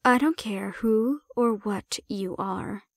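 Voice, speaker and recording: A woman's voice: medium pitch, slow pace, gravelly, no room sound. Girl voicing a cocky handler woman, blunt n teasing, not scared of anyone, audio rp, dry close sound. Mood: firm, challenging. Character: bold, cocky non-human female handler, sardonic and unafraid. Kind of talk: audio roleplay acting.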